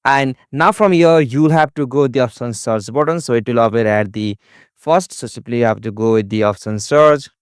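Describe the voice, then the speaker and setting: A man narrates a tutorial step by step in a clean, smooth voice. The sound is close and dry, with no room around it. His pitch sits in an ordinary middle range, and his phrasing is formulaic and repetitive. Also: moderate pace, no echo.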